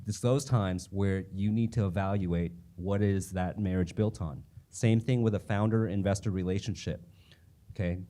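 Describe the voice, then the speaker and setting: A male panelist explains investor tips in a relaxed, conversational way, pausing on fillers and checking in with the audience. His voice is low and mellow. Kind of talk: panel advice.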